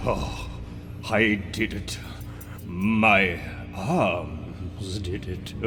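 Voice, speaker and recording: A man voicing an arrogant, bitter mad scientist villain in a dramatic comic book reading, grandiose and gloating. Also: on the low side. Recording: loud music under the voice. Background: music.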